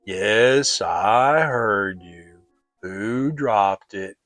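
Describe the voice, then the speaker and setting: A man voicing a gloomy old donkey in a children's storybook, speaking slowly and with drawn-out self-importance. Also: fairly low pitch, expressive, a bit bright, faint music behind, voice pushed down, heavily acted. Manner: slow, drawn-out, pompous. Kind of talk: dramatic children's storybook reading.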